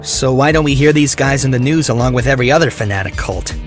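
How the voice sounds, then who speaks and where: A man's voice: medium pitch, quick pace, over music, natural voice. A man playing a sarcastic, casual teenage boy hero in a fan audio drama. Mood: curious, sceptical. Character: sarcastic teenage boy turned giant blue hedgehog hero.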